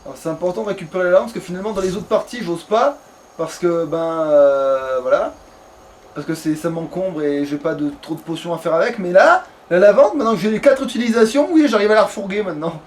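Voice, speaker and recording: A man's voice: high-pitched, animated, a little fast. A man chatting casually in crude, slangy as he comments on a video game he is playing. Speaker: let's play gamer. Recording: noisy room, distant microphone.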